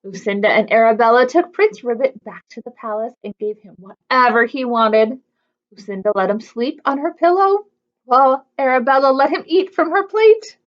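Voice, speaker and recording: A woman's voice: fairly high pitch, animated, normal speed, muffled recording. A woman hosting a children's storytime, reading aloud expressively and chattily putting questions to young listeners. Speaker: children's library storytime host.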